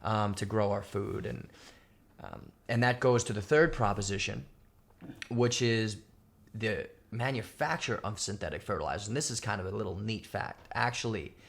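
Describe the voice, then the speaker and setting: A man's voice: fairly low pitch, moderate pace, creaky, a bit bright. A man answering interview questions methodically, laying out points one by one with many fillers. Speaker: guest, company founder.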